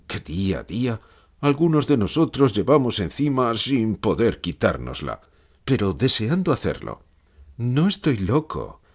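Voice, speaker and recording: A man's voice: fairly low pitch, slightly rough, full character voice. An actor playing a gloomy, anguished male philosopher in an audiobook interview, speaking in a dramatic, declamatory manner. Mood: weary, brooding, then defiant, bitter. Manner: dramatic, declamatory, rhetorical repeated questions. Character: gloomy, lucid pessimist philosopher, brooding and anguished man. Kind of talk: acted fictional interview, audiobook.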